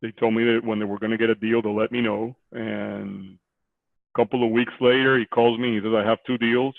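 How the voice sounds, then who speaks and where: A man in his forties from Costa Rica, a real estate investor talking on a video call from a small office, halting with many fillers. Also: fairly low pitch, little pitch movement, vocal fry, no echo. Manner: hesitant, many fillers and restarts. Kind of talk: conversational interview. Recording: tinny phone-like line.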